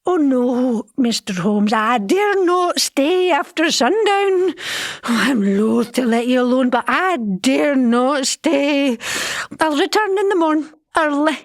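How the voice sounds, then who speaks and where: A woman's voice: sits high, lively intonation, slow, no room sound, clean studio sound, heavily acted. A woman playing a superstitious, hospitable Scottish caretaker of a haunted house in a comedic audio drama, speaking folksy dialect. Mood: fearful, ominous. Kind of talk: comedic old-time radio drama acting.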